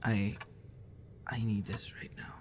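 Man acting a slow-talking, hesitant, guarded young guy. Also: fairly low pitch, little pitch movement, whispered. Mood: tender, soft. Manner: halting, hesitant, stammering. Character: busy, guarded young man, awkward with close friend. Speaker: audio roleplay performer. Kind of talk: intimate ASMR boyfriend roleplay acting.

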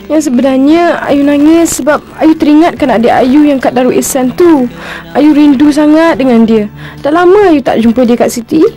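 High-voiced, polite orphan girl, played by a woman. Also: normal speed, faint music behind, natural voice, low-quality recording. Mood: wistful. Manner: soft-hearted, polite. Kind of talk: cartoon voice acting.

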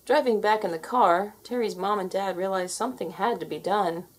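A woman reading a children's story aloud in an expressive, scripted narrating style. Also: medium pitch, normal speed. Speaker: storybook reader.

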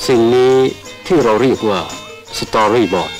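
Low-voiced man narrating over music. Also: slow. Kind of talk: scripted documentary narration and ceremonial recitation.

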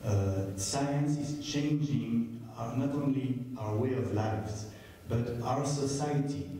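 A man's voice: mid-range voice, slow, dark tone. A man in his fifties with a French accent, moderating a stage talk into a handheld mic, hesitant with many fillers. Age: 50s. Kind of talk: public stage conversation. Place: large theater stage. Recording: echoey hall from far off.

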